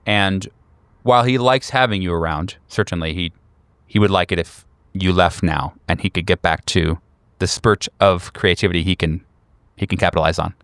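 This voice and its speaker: A man's voice: low-pitched, normal speed, fairly bright tone, clear, resonant tone, no room sound. A man acting as game master, narrating a tabletop horror game in a conversational way.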